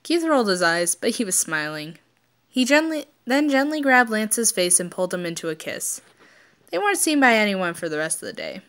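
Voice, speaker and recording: A woman's voice: mid-range voice, sing-song, moderate pace, fairly bright tone. Informal woman reading fan fiction aloud. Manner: informal, unpolished delivery with stumbles. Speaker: amateur fan fiction reader and channel host. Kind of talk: reading stories aloud and chatting casually to listeners.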